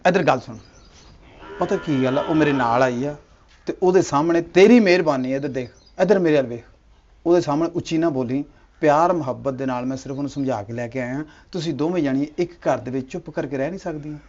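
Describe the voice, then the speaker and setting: A man's voice: a little high, quick pace, darkish tone, dull audio, natural voice. A man playing a cocky husband with two wives in a comedy skit, teasing and coaxing, then flaring up. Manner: teasing, wheedling, flares up indignantly.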